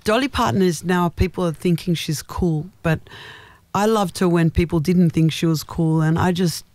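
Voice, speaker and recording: Deep-voiced woman, halting radio guest. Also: fairly even intonation, a little mellow, dry recording. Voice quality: naturally deep voice. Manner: thoughtful, halting, many restarts. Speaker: guest singer. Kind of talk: radio interview. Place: radio studio.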